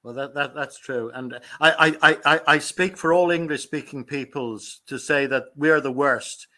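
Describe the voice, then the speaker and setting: A man's voice: a little high, normal speed, pushed and bright. A man hosting an online meeting, friendly and stammering with repeated words. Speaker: meeting host. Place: lives in Ireland.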